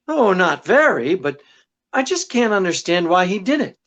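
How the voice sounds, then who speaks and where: A woman's voice: lowish voice, very animated, normal speed, a bit bright, heavily acted. A woman acting a wisecracking, devoted husband and sleuth in 1940s radio style, with flustered banter. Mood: tender, teasing.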